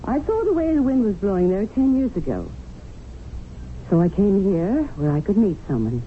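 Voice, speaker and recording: Radio-drama memsahib, played by an actress: worldly, managing, lecturing Englishwoman, expressive pitch, narrow hissy sound. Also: moderate pace, close to an everyday voice. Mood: matter-of-fact. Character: pragmatic, domineering English widowed memsahib in colonial India. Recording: tinny, noisy old radio broadcast.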